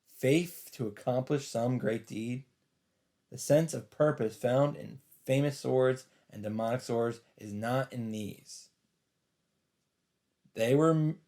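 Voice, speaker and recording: A man's voice: medium pitch, mellow. A man doing a let's play, reading visual novel narration aloud with casual, sometimes sweary commentary. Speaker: let's player.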